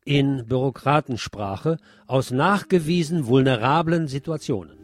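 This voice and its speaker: A man presenting scripted radio news commentary, reading deliberately and emphatically with wry asides. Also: medium pitch, expressive.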